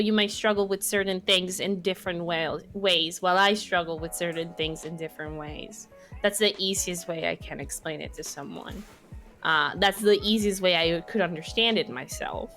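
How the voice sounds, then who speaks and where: A woman in her twenties from Mexico with a Mexican Spanish accent, a podcast guest speaking casually in English, her second language, pausing and restarting to find her words. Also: on the low side, fairly bright tone, soft background music. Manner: thoughtful, searching for words, many restarts. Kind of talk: casual conversation.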